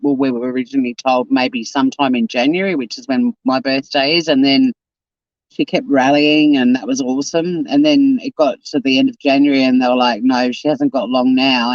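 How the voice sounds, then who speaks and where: A man's voice: mid-range voice, fairly even intonation, moderate pace, dry recording, muffled recording. A man in his fifties chatting casually on a live stream, reading out and answering viewer comments. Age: 50s. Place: home.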